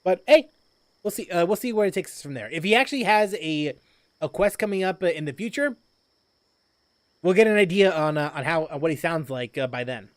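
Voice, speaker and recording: A man's voice: on the high side, lively intonation, a little fast, dry recording. A man casually chatting while streaming a game, rambling with lots of fillers and restarts as he reads chat aloud.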